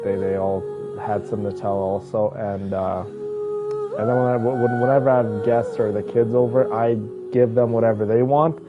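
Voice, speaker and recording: A man's voice: fairly low pitch, steady tone, moderate pace. A man hosting a casual livestream, chatting familiarly with viewers as if they were friends. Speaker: livestream host. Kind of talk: casual livestream chat, reading names and greeting viewers. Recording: dull lo-fi audio with music behind. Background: background music.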